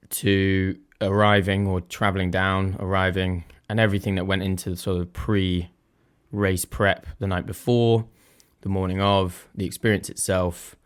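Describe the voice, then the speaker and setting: A young man hosting his own podcast, a little croaky, chatting casually and thinking aloud in a self-deprecating way. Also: deep voice. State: slightly croaky voice. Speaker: podcast host. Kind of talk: casual solo podcast chat.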